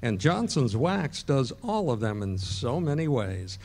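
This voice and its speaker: Brief: male actor as a genial announcer, friendly sales pitch, breezy and relaxed. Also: a little slow. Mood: earnest. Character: genial radio announcer doing a sponsor plug. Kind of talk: live stage radio play reading. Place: ballroom stage before an audience.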